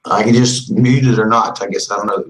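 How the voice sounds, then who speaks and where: A man with a scratchy, hoarse voice from a cold, patiently leading a casual English conversation over an online call. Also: fairly low pitch, steady tone, a little fast.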